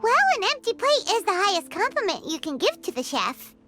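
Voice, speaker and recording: A woman voicing a tiny, cheeky, childlike fairy companion in a video game, with a squeaky, bubbly, cutesy delivery. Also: sing-song, brisk, bright, forceful tone, soft background music, exaggerated voice. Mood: amazed.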